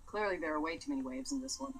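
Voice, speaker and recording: A woman's voice: lowish voice, sing-song, racing. A woman chatting casually as co-commentator on video game play. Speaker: stream co-commentator. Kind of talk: casual gameplay chat. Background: loud game music.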